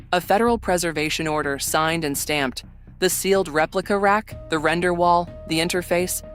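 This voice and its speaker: A narrator reading an audio drama in a measured, literary manner. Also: mid-range voice, a bit bright.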